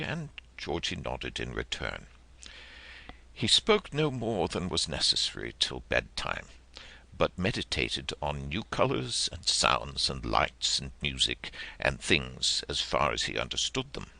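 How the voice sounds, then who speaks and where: A man reads a literary audiobook in a low, forceful voice with a crisp, very bright edge. His delivery is expressive and theatrical, rising and falling widely as he tells the story. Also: no room sound. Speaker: audiobook narrator.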